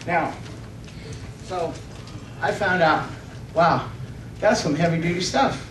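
A middle-aged man preaching and reading scripture in a halting, slightly nervous way, often correcting himself and asking for amens. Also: fairly high pitch. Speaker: preacher at a church conference. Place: church hall stage, indoors. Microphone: stand microphone, picked up by far camera. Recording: roomy, distant microphone with faint noise.

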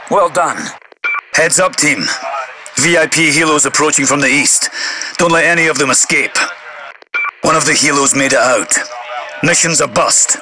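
Stern male commander for a military shooter: slightly high voice, terse orders, radio-filtered over clear background music. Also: poor audio. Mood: measured approval. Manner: clipped, commanding. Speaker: mission control commander. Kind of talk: scripted video game military radio dialogue.

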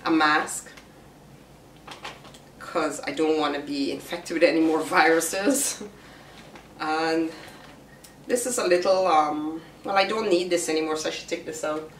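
Raspy middle-aged woman vlogging. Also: sits low. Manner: chatty, unhurried, explains each item. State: raspy from a recent virus. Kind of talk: casual show-and-tell vlog. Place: small room indoors. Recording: roomy, distant camera sound with faint hiss.

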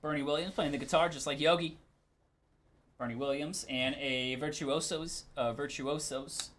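A man's voice: on the high side, steady tone, moderate pace, a bit bright. A man hosting a live sports card break, giving casual, laid-back commentary in short clipped call-outs.

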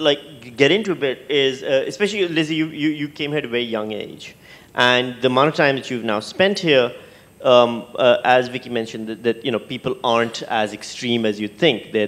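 A man moderating a panel on stage, conversational and halting, repeating words as he thinks aloud into a handheld microphone. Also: mid-range voice, fairly bright tone. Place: stage in an auditorium with audience.

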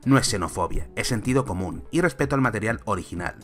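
A man's voice: lowish voice, expressive, fast, faint music behind. A man in his thirties from Spain, a film commentator giving an argumentative, sarcastic opinion vlog on a close mic.

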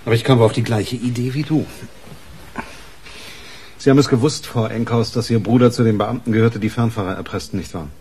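An actor playing a weary, brusque police detective chief in a naturalistic radio crime drama. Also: male voice, mid-range voice, steady tone, brisk, long pauses, darkish tone, slight background noise, close to an everyday voice. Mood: probing. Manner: curt, probing, businesslike. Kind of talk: naturalistic German radio play acting.

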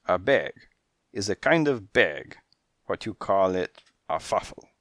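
A man's voice: sits low, sing-song, fairly bright tone, dry recording, studio quality, full character voice. A man voicing a dramatic lady cat fortune-teller. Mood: explaining. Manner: broken English, dramatic, quick to take offence. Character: theatrical female cat fortune-teller, touchy and grandiose. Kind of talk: storybook character voice.

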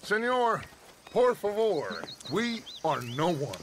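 A man playing an emotional, smooth-talking middle-aged American outlaw gang leader in a cinematic video game, with a dramatic, grandiose delivery. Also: high voice, wildly swooping pitch, slow, slight background noise, full character voice. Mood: smooth, wary.

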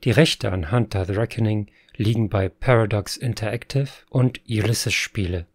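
Man, fairly low voice, scripted credits announcement, dry sound. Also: normal speed, breathy edge, no room sound.

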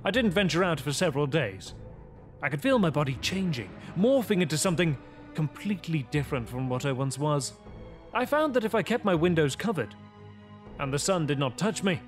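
A man's voice: a little high, natural voice. A man playing a brooding, theatrical male vampire. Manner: theatrical, ruminative, formal. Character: brooding, arrogant male vampire recounting his transformation. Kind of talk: gothic audio drama monologue. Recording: music under the voice.

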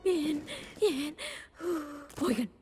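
A woman's voice: high-pitched, very slow, music in the background. A woman voicing a sweet, jittery, easily frightened girl in a children's cartoon.

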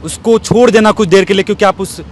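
A man in his thirties interviewing conversationally, with halting, reflective and roundabout phrasing. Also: high voice, steady tone. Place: outdoors in an open courtyard. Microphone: handheld small microphone. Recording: faint outdoor street background noise.

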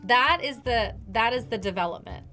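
A middle-aged woman gives a casual tarot reading. Her voice is bright and a little high, and she talks in an animated, conversational way. Faint music plays behind her. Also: normal speed. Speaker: tarot card reader. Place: dark indoor studio, seated at a table.

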